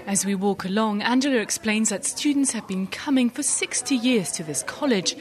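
A woman reporting for radio, narrating and asking questions in a bright, inquisitive way. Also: fairly high pitch, moderate pace. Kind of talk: radio feature reporting and interviewing.

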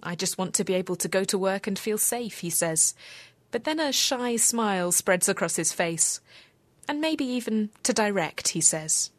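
A woman reporter reading a scripted radio news feature in a measured, even way. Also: medium pitch, dry recording.

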